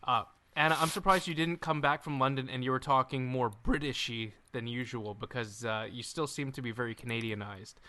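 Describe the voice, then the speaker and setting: A man's voice: mid-range voice, steady tone, fairly quick, few pauses, pushed and bright. A man co-hosting a casual podcast chat over a call, bantering and boasting in a jokey way. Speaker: podcast co-host. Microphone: remote call mic.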